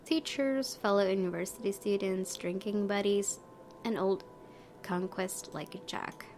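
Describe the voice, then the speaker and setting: A woman's voice: medium pitch, measured pace, darkish tone, slight background noise. A young adult woman hosting a let's-play, reading visual novel narration expressively with informal, casual reactions. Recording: voice over prominent game music.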